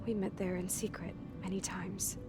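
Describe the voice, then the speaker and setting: Game heroine's companion, played by a woman: guarded, hurt, mid-pitched; cutscene with score. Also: flat delivery, lightly acted. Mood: wistful. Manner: hushed, halting, turns defensive and raw. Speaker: game character. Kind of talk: video game voice acting. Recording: game cutscene with music behind.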